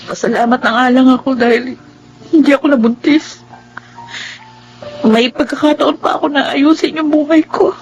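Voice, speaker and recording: A woman's voice: high-pitched, fairly even intonation, moderate pace, pauses often, highs cut off, soft background music. An actress playing a remorseful, earnest young single mother in a radio drama, with a Filipino accent. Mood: sad, resolute. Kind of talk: radio drama acting and narration.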